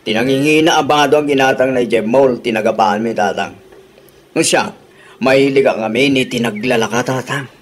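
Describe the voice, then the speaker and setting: An actor playing a thrifty, exasperated husband in a radio drama, grumbling reproachfully with an Ilocano accent. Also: male voice, mid-range voice, moderate pace, natural voice. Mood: respectful, complaining.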